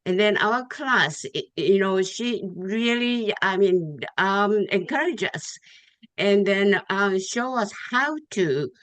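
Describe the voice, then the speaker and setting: A woman from Japan with a Japanese accent, giving an informal, heartfelt testimonial in a halting way, searching for words and repeating phrases. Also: mid-range voice, animated, fairly bright tone, dry recording. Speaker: Tai Chi student giving thanks at an online gathering. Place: online video call.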